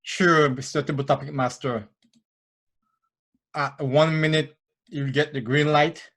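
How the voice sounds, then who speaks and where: Guy on a video call listing the time rules, matter of fact, kinda slow. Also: a little high, steady tone, a bit bright. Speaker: meeting timer. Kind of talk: reading meeting rules.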